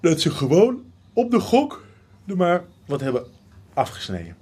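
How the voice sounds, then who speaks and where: A man's voice: high-pitched, wildly swooping pitch, normal speed, a little mellow, husky, heavily acted. A man playing a young art history student, obsessive and teasing, in a radio drama. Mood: obsessive, animated. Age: young adult.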